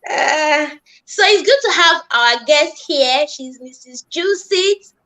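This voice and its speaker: A woman hosts a webinar over a video call and welcomes her guest with effusive praise. Her voice sits high and rings bright and sharp. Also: expressive, slow pace. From Nigeria. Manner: gushing, effusive, laughs often. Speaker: webinar host, nurse. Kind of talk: hosting a webinar.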